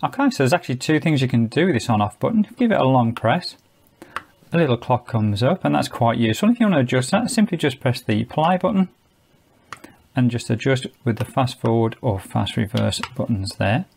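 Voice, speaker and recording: A man's voice: mid-range voice, vocal fry, darkish tone, slightly rough. A man giving a casual, chatty product demonstration, explaining things step by step as a reviewer. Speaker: gadget reviewer.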